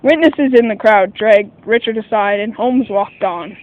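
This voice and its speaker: Earnest sidekick narrator, acted by a man, unusually high male voice, plain storytelling, lo-fi radio-play recording. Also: a little slow. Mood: narrating. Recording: dull lo-fi microphone, faint noise.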